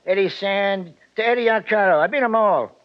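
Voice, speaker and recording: An actor playing a boastful little movie cowboy with a folksy manner, in 1940s radio drama style. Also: male voice, high voice, fairly quick, lightly acted. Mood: cocky. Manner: folksy, bragging, calls others son and laddie. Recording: muffled vintage 1940s radio recording.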